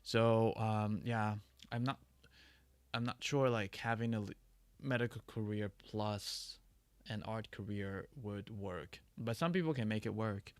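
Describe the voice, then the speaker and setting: Gravelly-voiced man chatting on a livestream. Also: lowish voice, no echo. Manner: halting, many restarts and fillers. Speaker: livestream host. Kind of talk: casual livestream chat answering viewer questions.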